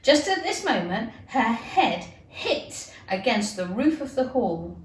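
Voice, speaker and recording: A woman reads a children's storybook in a high, very lively voice. She takes her time with theatrical, deliberate phrasing. The sound is roomy and distant from the microphone. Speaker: teacher reading aloud. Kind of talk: expressive children's storybook narration.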